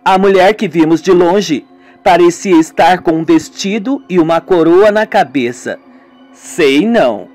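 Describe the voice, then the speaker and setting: An uneasy young woman, read by a man who muses half to herself. She speaks in a plain, fairly high voice with no real shift in tone. Faint music underneath. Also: a little slow, darkish tone.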